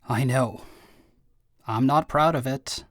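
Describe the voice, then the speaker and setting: A man playing a guilty, devoted brother and werewolf clan second in an audio roleplay drama, earnest and halting as he speaks. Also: mid-range voice, a bit bright, dry recording. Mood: pleading, earnest.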